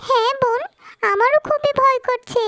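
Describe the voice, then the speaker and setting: Squeaky-high timid little magic fish. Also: female voice, fairly even intonation, normal speed, sharp tone, no echo, clean studio sound. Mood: fearful. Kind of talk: cartoon voice acting.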